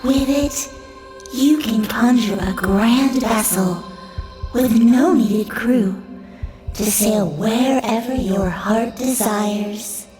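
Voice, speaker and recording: A woman's voice: fairly high pitch, slow. A woman playing a gracious, solemn elven throne ruler, speaking formally and ceremonially in a fantasy audio drama. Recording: roomy echo, music underneath.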